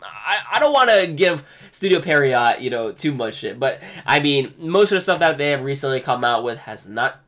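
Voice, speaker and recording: A man chatting casually on a live stream, reading text aloud with lots of fillers and restarts, his voice going hoarse. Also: mid-range voice, big pitch swings, normal speed, few pauses, fairly bright tone. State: losing his voice. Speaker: anime streamer.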